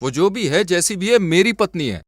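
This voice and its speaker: A man voicing an earnest, protective young husband with a firm, sincere manner in an animated moral story. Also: high voice, moderate pace, dry recording, clean studio sound, pitched above the performer's own voice. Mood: firm, angry.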